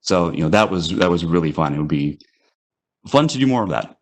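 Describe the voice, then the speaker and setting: Very deep, gravelly, slow-talking man. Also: animated. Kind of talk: casual panel chat.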